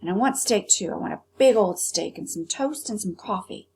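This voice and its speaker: A woman's voice: medium pitch, animated, moderate pace, a little mellow, slightly rough. A woman voicing a poor, polite street boy from a storybook. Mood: matter-of-fact. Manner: plain, deferential, folksy. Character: poor street boy, polite, humble, folksy Southern kid.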